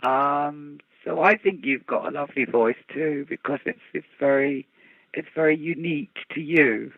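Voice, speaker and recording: A woman with a Birmingham accent and a gravelly voice, chatting casually and laughing easily. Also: deep voice, normal speed, no echo. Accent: Birmingham English. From English Midlands. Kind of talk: casual chat. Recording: dull lo-fi dry recording.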